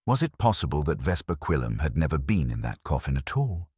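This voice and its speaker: A man with an extremely deep voice narrates a mystery tale in measured, literary fashion. His pitch moves in lively swings, and the sound is close and dry. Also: breathy edge, no room sound. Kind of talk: scripted mystery story narration.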